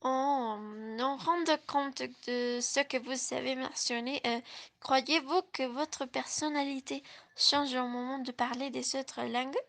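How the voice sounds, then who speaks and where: A woman hosting a scripted interview, reading her questions slowly. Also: high-pitched, fairly even intonation, a bit bright. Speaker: host.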